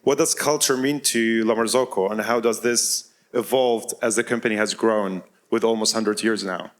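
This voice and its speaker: A man's voice: on the low side, steady tone, moderate pace. A man moderating a live panel, introducing guests and putting questions to them. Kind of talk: event moderation. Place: live event stage.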